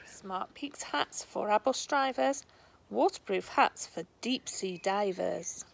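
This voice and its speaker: A woman reading a rhyming children's storybook aloud in a deliberate storytelling manner. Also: mid-range voice, lively intonation, vocal fry. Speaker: storybook reader. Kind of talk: scripted children's rhyme read aloud.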